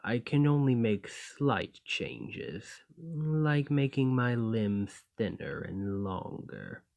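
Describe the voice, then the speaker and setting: A man playing an imprisoned clay golem in an ASMR roleplay, polite and learned, speaking in formal, deliberate phrases. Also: medium pitch, animated, vocal fry, a little mellow. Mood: cold, menacing. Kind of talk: scripted ASMR roleplay acting.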